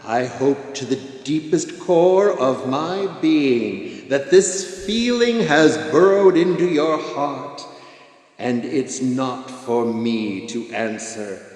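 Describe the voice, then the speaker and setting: Man as a slow, echoing, solemn Christmas spirit. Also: medium pitch, animated, darkish tone. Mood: grave, earnest. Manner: solemn, portentous, slow formal delivery. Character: grave, fading male Christmas spirit warning a miser. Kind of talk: theatrical radio play acting. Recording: echoing hall-like reverb.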